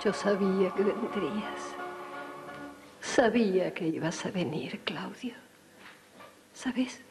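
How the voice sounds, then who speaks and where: An older Argentine actress playing a frail, dying, loving woman, breathy and halting, in melodramatic radio soap style. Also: mid-range voice, over music. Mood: weak, loving. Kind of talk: classic radio soap opera acting. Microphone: large vintage studio microphone. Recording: noisy archive studio recording.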